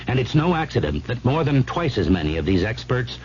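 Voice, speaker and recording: A man's voice: mid-range voice, sing-song, fairly quick. An American man reading a scripted radio commercial as the announcer. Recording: muffled, noisy old radio broadcast.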